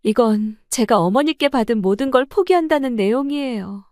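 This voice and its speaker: A woman's voice: on the high side, expressive, moderate pace, a little mellow, dry recording, clean studio sound, close to an everyday voice. A woman playing a remorseful, humbled daughter-in-law in an audio story drama.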